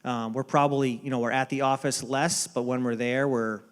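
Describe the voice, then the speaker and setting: A man with a Boston accent answers questions at a casual pace, his voice smooth and resonant. He fills his answers with ums and restarts, sounding easygoing and unhurried. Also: mid-range voice. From Boston area. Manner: relaxed, many ums and restarts. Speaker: baseball front office executive. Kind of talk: casual interview at a dinner event.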